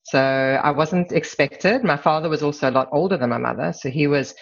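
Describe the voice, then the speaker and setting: The recording is a little dull and lo-fi. A middle-aged woman with a South African accent speaks as an interview guest. She is candid and measured as she reflects on personal matters. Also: low voice. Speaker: podcast guest, lawyer. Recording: slightly dull, lo-fi sound.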